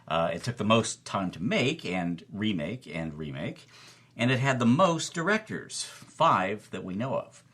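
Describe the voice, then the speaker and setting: A man's voice: lowish voice, big pitch swings, measured pace, a bit bright. A man hosting as a storyteller, narrating with wry, emphatic delivery and punchy repetition. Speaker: podcast host, film club organizer.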